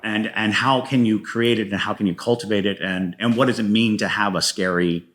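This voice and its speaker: A man speaking as a guest playwright in a conversational interview, thinking aloud in a halting way and often acting out little quotes as he explains. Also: on the low side, steady tone, a bit bright, slight background noise. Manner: halting, thoughtful storytelling with self-quotes.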